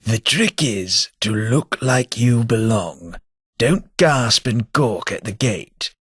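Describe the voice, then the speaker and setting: A foppish aristocrat drawls his sardonic remarks at an unhurried pace, his slightly low voice carrying a husky edge. He sounds snobbish and amused by everything around him. The recording is dry and close, with no room sound. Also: animated, a bit bright, no echo, exaggerated voice. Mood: drily snobbish. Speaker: game companion. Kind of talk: game voice acting.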